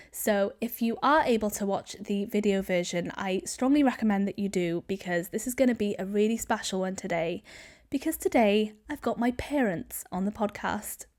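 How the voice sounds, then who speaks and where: A woman's voice: mid-range voice, darkish tone. A young Welsh woman, a Welsh speaker, hosting a casual podcast interview at her family home in a chatty manner. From Wales. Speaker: podcast host.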